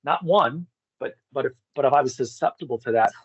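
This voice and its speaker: A man's voice: medium pitch, a little fast, fairly bright tone, slightly rough, highs cut off. A middle-aged man telling personal stories in a candid, rambling, profane way as an interview guest. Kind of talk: casual personal storytelling.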